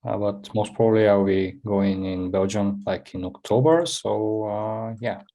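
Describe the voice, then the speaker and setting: Man, lowish voice, video call panelist, hesitant, many fillers. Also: a little slow, dark tone. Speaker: citizen panelist.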